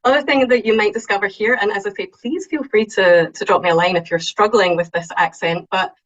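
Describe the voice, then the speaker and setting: A woman with a strong Scottish accent giving an online talk, lively and excitable, with frequent ums. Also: medium pitch, a little fast, lo-fi sound. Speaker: invited speaker. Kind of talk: webinar talk on presenting.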